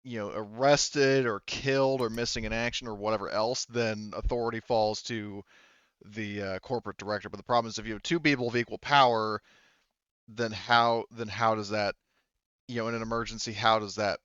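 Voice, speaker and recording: A man with a low, clean voice runs a tabletop roleplaying game in a conversational style. He sounds close and dry, on a slightly dull recording. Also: steady tone, a little fast, a bit bright, clear, resonant tone, no room sound. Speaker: game master.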